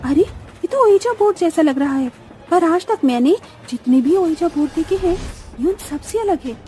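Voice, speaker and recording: A woman's voice: very high voice, animated, normal speed, dark tone. A woman playing a curious, eager young woman explaining things to friends in a cartoon story.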